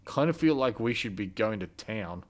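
A man's voice: lowish voice, animated, moderate pace. A man giving casual let's play commentary as a gaming host, muttering through his decisions as he plays. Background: game music.

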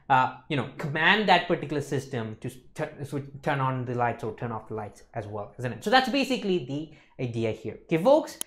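A man's voice: mid-range voice, fairly bright tone. A young man giving an explanatory lecture, emphatic and often checking in with listeners. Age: young adult. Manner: emphatic, repeats checking tags like "okay, folks" and "isn't it". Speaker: accountancy lecturer. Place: indoor lecture studio.

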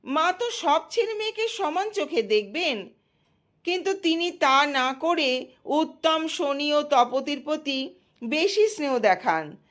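A woman's voice: sits high, animated, slow pace, fairly bright tone, heavily acted. A woman storyteller voicing an aggrieved boy god who pleads earnestly. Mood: aggrieved, pleading. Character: aggrieved boy god complaining to his father.

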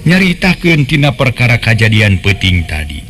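A man's voice: medium pitch, sing-song, normal speed, a bit bright. A man with a West Javanese accent narrating a dramatised folk tale as a storyteller. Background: faint music.

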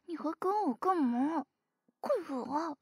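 A woman's voice: sits high, measured pace, noisy background. A woman playing a distraught, guilt-ridden young woman, crying, in an amateur audio drama. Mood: tearful, guilty. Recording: music under the voice.

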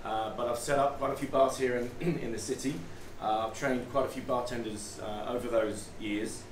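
A man's voice: medium pitch, fairly even intonation, normal speed. A middle-aged British man who works as a bartender and artist, giving an informal talk to a group and often repeating words as he goes. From United Kingdom. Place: small bar, indoors. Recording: roomy, distant mic, noisy bar ambience.

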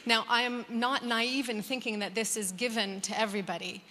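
A woman's voice: a little high, little pitch movement, fairly quick. A woman in her thirties with an American accent giving a conversational conference talk, with frequent "um"s and restarts. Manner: warm conversational, many "um"s and restarts. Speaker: conference speaker. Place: large conference hall. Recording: reverberant hall.

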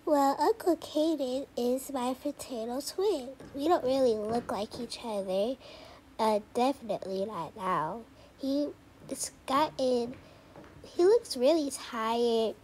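A woman's voice: sits high, slow, darkish tone. A woman voicing a kindly, hesitant mother in her thirties in an amateur cartoon doll story. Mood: hesitant, polite. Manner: hesitant, polite. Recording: clearly noisy home recording.

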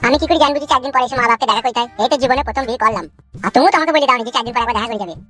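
Man doing a rapid-fire, high-voiced young village husband. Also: pushed and bright, faint music behind. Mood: defensive. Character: put-upon young newlywed village son, devoted husband. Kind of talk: comic cartoon dubbing.

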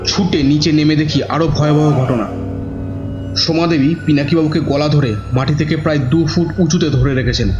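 A man's voice: on the high side, natural voice. A man playing a devoted young nephew who narrates a horror audio drama in a dramatic, scripted style. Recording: dull lo-fi, music behind.

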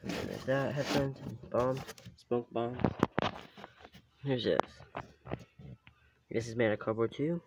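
A man casually naming items as he films a cardboard model house on a handheld phone indoors, in short halting phrases. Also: steady tone, creaky, heavy background noise. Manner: halting, plain listing of items, some low mutters. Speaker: vlogger showing a cardboard house. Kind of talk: casual show-and-tell narration. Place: indoors, small home room. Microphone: handheld phone camera.

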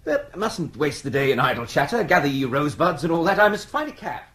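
Radio comedy lead: urbane, pompous young English toff, loquacious, unruffled, very lively delivery. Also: male voice, a little high, quick pace, a little hiss or hum. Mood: breezy. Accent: upper-class English. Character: suave, unflappable, loquacious young English gentleman. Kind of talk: 1980s BBC radio comedy drama.

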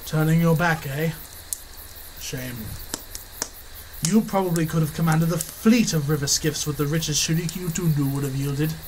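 A man's voice: a little high, normal speed, long pauses, a little mellow, a little hiss or hum, natural voice, rough recording. A man playing an arrogant, scheming explorer, speaking in a pompous, boastful, theatrical 1930s radio-serial manner.

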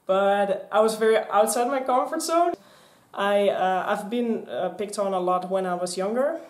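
A young woman in her twenties with a Dutch accent, chatting casually to camera in a rambling, animated way. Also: medium pitch, moderate pace. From Netherlands. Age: 20s. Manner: chatty, rambling, gesturing a lot. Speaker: vlogger. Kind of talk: casual vlog chat. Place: small craft room with shelves and mannequins, indoors. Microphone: camera on a stand in front. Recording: echoey room.

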